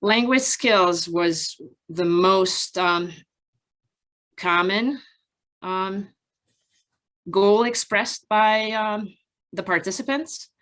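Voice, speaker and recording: A woman researcher giving an academic presentation from slides in a measured, halting, explanatory way with frequent pauses. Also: medium pitch, fairly bright tone. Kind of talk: conference research presentation, partly improvised from slides.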